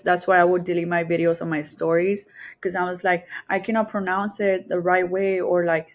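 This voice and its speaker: A woman speaks with a Mexican Spanish accent in a medium-pitched voice. She is a podcast guest sharing personal stories in an informal, candid way, working out her words as she talks. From Texas and Mexico border region. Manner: candid, informal, thinking aloud. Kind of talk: casual personal storytelling.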